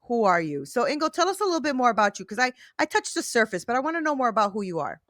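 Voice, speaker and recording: A woman's voice: a little high, big pitch swings, rapid-fire, fairly bright tone, dry recording, studio quality. A woman hosting an interview show, chatting casually and enthusiastically, often tagging "right?" onto her sentences. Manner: enthusiastic, gushing, frequent "right?" and "like". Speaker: podcast host.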